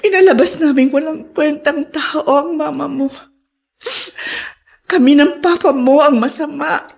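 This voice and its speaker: A woman's voice: high voice, measured pace, vocal fry, a little mellow. An actress playing an emotional, anguished mother in a Filipino radio drama. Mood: tearful remorse. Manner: dramatic, anguished, breaking into sobs. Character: guilt-ridden ailing mother, emotional and remorseful.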